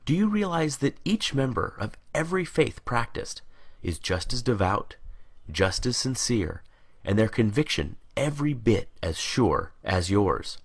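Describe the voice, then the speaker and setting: A man narrating a scripted monologue in a deliberate, emphatic, questioning way, as if addressing the listener directly. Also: mid-range voice, very animated, vocal fry, husky.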